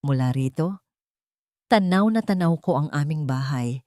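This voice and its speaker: A woman's voice: deep voice, moderate pace, mellow, no room sound. A woman reading a scripted, dramatic first-person story as an audio narrator.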